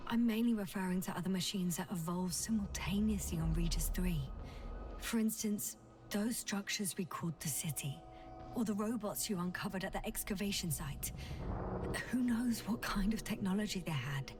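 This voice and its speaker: Game role: actress as patient, analytical woman scientist, measured delivery, noisy ambience. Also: medium pitch, close to an everyday voice. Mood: measured explaining. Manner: explanatory, measured, articulate. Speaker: scientist explaining a theory. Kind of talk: video game dialogue acting.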